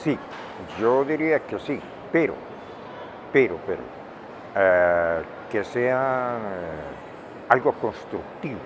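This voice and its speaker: An older man with a Colombian accent answering interview questions in a deliberate, emphatic way, often repeating words for weight. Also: on the low side, lively intonation. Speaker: interviewee, veteran radio director and journalist. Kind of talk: reflective interview talk. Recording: dull lo-fi, clear outdoor traffic noise.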